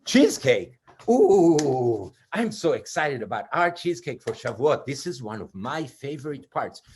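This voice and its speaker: A man co-presenting a children's puppet show in a playful, animated way, often repeating his phrases. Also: medium pitch, unhurried, a little hiss or hum.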